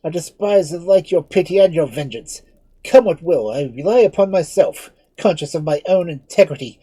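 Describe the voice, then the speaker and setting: A man voicing a proud, desperate young nobleman who declaims loudly and passionately with a breathy voice. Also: fairly high pitch, animated, moderate pace, dry recording, voice pushed up. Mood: defiant. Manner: loud, declamatory and impassioned.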